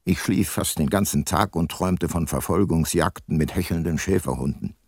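An actor playing a world-weary private detective who narrates his case soberly in a crime radio drama, with a husky voice. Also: deep voice, normal speed, darkish tone, dry recording, clean studio sound, heavily acted. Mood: sober, reflective.

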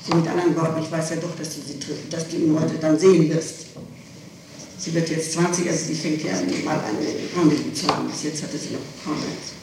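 Old tape recording far from the mic, super echoey, middle-aged woman answering questions, halting and insistent. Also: sits low, steady tone, noisy background. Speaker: suspect being questioned by police. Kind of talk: spontaneous answers in a police interrogation.

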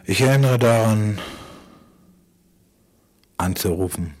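A man delivering a halting, brooding spoken-word monologue for radio, repeating phrases and often dropping toward a whisper. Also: on the low side, darkish tone. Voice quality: husky, breathy.

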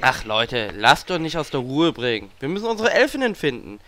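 An actor playing a brash, boastful macho adventurer in a comedy audio play. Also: male voice, mid-range voice, sing-song, fairly quick, bright, forceful tone, a little hiss or hum. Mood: whiny.

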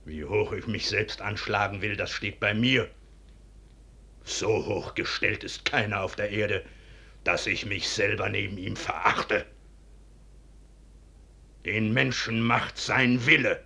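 An actor playing a proud, unyielding low-born officer in a 1950s German radio drama, stern and declamatory. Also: male voice, slow, creaky, long pauses, pushed and bright, husky, heavily acted. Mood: bitter, resolute. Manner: declamatory, stern, clipped stage diction.

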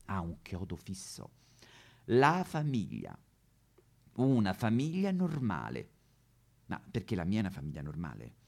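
Casting: male comic actor as vain, aggrieved Madonna statue, Roman accent, melodramatic satirical monologue, very animated swooping pitch. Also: measured pace, vocal fry, long pauses, full character voice. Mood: resentful, sardonic. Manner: melodramatic, plaintive, sudden swoops into wailing. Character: aggrieved neglected female courtyard Madonna statue, self-pitying, vain.

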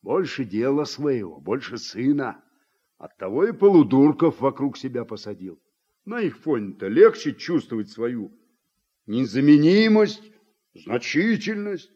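An actor playing a middle-aged, plain-spoken Soviet farm man with an earthy, deliberate way of talking, in a radio drama. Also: a little high, darkish tone, husky, exaggerated voice. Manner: earthy colloquial speech, reproachful and deliberate. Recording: dull, muffled old radio recording.

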